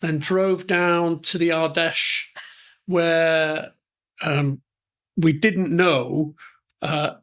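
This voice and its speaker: A man's voice: on the high side, fairly even intonation, very slow, long pauses, a bit bright, rough recording. A middle-aged man with a northern English accent, telling rambling, self-deprecating stories as a podcast guest. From northern England. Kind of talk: conversational storytelling.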